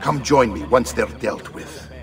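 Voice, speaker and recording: An actor playing a commanding middle-aged Italian uncle and mercenary captain, theatrical, with an Italian accent, in a video game. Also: male voice, mid-range voice, measured pace, distant mic, soft background music, close to an everyday voice. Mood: commanding, resolute. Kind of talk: dramatic video game voice acting.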